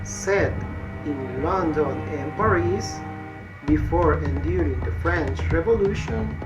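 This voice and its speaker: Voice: medium pitch, takes it slowly, dull audio. A narrator reading a scripted literature analysis in short, separated phrases. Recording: loud music over the voice. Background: loud instrumental music.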